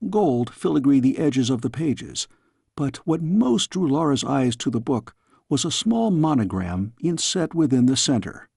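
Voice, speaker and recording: Man, audiobook narration, gravelly and husky, expressive storytelling, very dry close sound. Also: mid-range voice, unhurried, no room sound.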